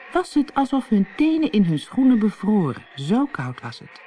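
Lively, expressive fairy-tale narrator. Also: mid-range voice, a little hiss or hum. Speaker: audiobook narrator.

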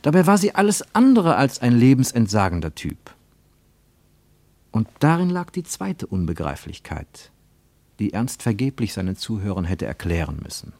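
A man reading scripted literary narration for radio, with measured, rhetorical and emphatic phrasing. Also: on the low side, sing-song, a little mellow, no echo.

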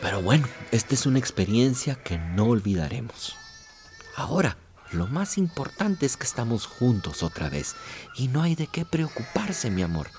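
Casting: elderly, tender father for radio drama, mid-pitched with very varied intonation. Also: moderate pace, music in the background. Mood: tender reassuring. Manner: tender, expressive. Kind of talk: radio drama acting and narration.

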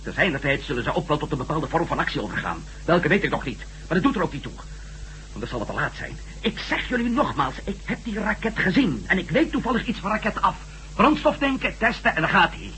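A man's voice: a little high, sing-song, quick pace, pushed and bright. A man acting in a radio drama as a sardonic, impatient ex-astronaut reporter, argumentative and urgent. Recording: faintly noisy old radio drama recording.

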